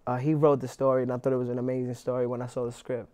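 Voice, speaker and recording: Young New man, flat halting talk. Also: mid-range voice. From New York, Inwood. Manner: relaxed, halting with many restarts and fillers. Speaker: actor, interviewee. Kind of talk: casual interview.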